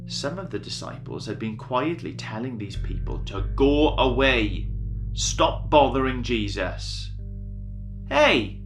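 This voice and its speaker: A man's voice: medium pitch, lively intonation, unhurried. A man reading a children's story, expressively acting out the dialogue. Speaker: story reader. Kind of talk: scripted children's story narration. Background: guitar music.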